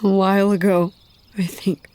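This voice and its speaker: An actress playing a sad, apologetic young woman who speaks quietly and haltingly, sometimes in a whisper. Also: medium pitch, level tone, close to an everyday voice. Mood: sorrowful. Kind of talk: audio drama acting.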